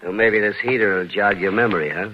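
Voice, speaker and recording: A man's voice: lowish voice, fast talker, natural voice. An American actor playing a menacing gunman in a 1940s radio crime drama, taunting in tough-guy slang. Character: menacing male gunman shaking down a crook. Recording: muffled old radio broadcast.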